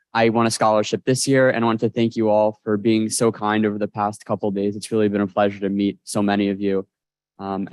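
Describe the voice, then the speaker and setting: A man's voice: fairly low pitch, smooth, no echo. A young man, a university student, politely thanks the crowd in a short convention speech. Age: young adult. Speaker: scholarship winner, student.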